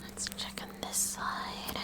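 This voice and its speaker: A woman voicing a kooky, curious high school science club president in a halting, excitable breathy whisper for an ASMR roleplay. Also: natural voice. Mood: nervously probing.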